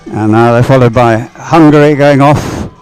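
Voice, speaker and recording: Man, live race commentary, halting, thinking aloud, music in background. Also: medium pitch, a little mellow, clear, resonant tone, poor audio. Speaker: sports commentator.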